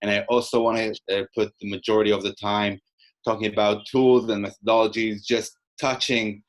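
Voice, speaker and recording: Low-voiced man presenting on a call. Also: little pitch movement, brisk, rough recording. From Australia, half. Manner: conversational, many fillers, presenting slides. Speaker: webinar speaker. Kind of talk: presentation over video call. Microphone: video call.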